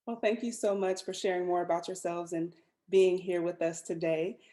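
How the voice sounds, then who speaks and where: A woman moderator with a mellow, warm tone hands the floor to others softly and graciously. She keeps her turns short and polite. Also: on the low side, steady tone, moderate pace. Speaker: panel moderator. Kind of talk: moderating an online panel.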